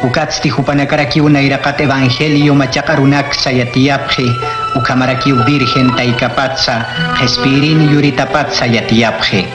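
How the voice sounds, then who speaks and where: A man narrating a Biblical radio drama in a measured storytelling manner. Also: mid-range voice, steady tone. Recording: echoey with loud music bed.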